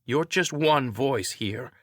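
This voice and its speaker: A man's voice: mid-range voice, animated, takes it slowly, fairly bright tone, no echo, clean studio sound, pitched above the performer's own voice. A man voicing a tough, assertive woman ship commander in an audiobook story. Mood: defiant anger. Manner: heated, assertive.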